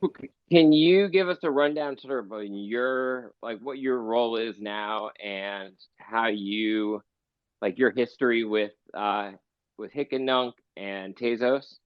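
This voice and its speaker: A man asking interview questions casually, haltingly rephrasing as he goes, over slightly dull online audio. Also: medium pitch, clean tone, no room sound. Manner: halting questions with repeated restarts.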